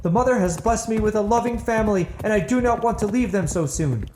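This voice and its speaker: Man voicing a frightened young captive woman, high-pitched. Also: fast talker, a little mellow, echoey room, slight background noise, natural voice. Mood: pleading, scared. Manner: pleading, formal, stilted speech.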